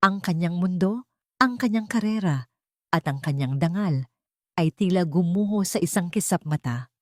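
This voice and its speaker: Low-voiced woman narrating drama. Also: lively intonation, normal speed, no echo, studio quality. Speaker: audio story narrator.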